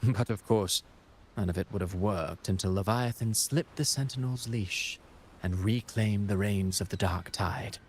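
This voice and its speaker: A man's voice: fairly low pitch, measured pace, a little hiss or hum, natural voice. A man playing a grandiose, self-assured mastermind villain in a video game cutscene, composed and eloquent, with faint ambience behind. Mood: smug, composed.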